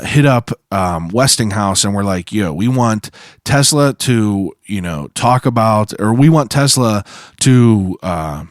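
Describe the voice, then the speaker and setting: A hoarse man hosting a podcast, telling a story in a loose, rambling way full of slang. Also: fairly low pitch, no room sound, studio quality. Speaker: podcast host. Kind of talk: casual podcast monologue.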